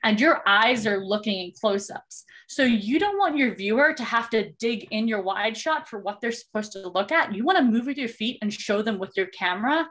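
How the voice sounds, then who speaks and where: A woman's voice: a little high, animated, moderate pace, few pauses, fairly bright tone. A woman from South Africa with a South African accent, teaching an online video workshop over a video call in a chatty, explanatory way with frequent ums.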